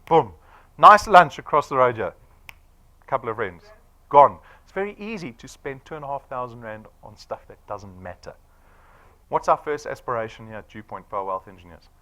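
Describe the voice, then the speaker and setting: A man's voice: medium pitch, animated, moderate pace, sharp tone. A man of forty with a South African accent, giving a punchy sales presentation to an audience and firing off rhetorical questions. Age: 40s.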